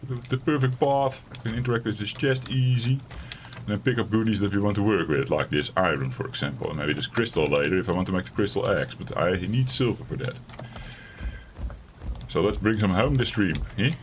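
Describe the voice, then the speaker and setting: A man with a raspy voice streaming a survival game from home, chatting casually and rambling in a self-deprecating way. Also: lowish voice, brisk, slight background noise. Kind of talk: casual let's-play commentary.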